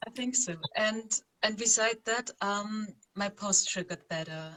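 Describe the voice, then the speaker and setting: A woman from Austria, a guest sharing her experience over a video call, earnest and halting, repeating words as she searches for them. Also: medium pitch, fairly bright tone, low-quality recording. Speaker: guest, former program participant.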